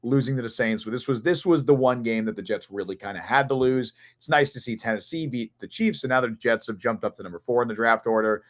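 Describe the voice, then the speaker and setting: A man with a hoarse, raspy voice from losing it, co-hosting a sports podcast and thinking aloud in long, earnest run-on stretches. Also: mid-range voice, quick pace, few pauses. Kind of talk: casual sports analysis chat.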